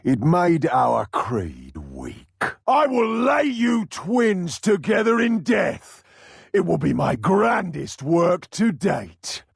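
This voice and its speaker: A man playing a menacing, sadistic serial killer villain in a video game, theatrical and taunting, with a raspy, gravelly voice. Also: sits high, big pitch swings, no room sound, studio quality, heavily acted. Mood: grandiose, threatening. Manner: taunting, theatrical, drawn-out delivery.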